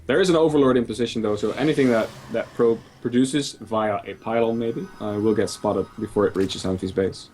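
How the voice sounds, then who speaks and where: A man from the Netherlands casting a video game match from home, explaining in a measured way with frequent fillers. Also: fairly low pitch, steady tone, a little mellow, slight background noise. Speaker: esports caster. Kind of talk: casual game commentary. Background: faint music.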